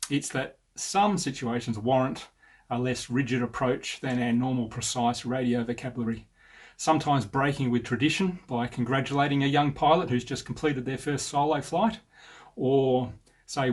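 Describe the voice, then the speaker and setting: An Australian man in his fifties giving a measured, sincere personal narration as an air traffic controller, with a slightly husky voice. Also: mid-range voice.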